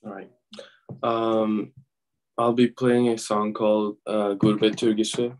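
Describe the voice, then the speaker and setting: A young man from Turkey, a guest musician, answering interview questions softly with many fillers and pauses. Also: lowish voice, little pitch movement, rough recording. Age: young adult. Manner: soft-spoken, full of fillers and pauses.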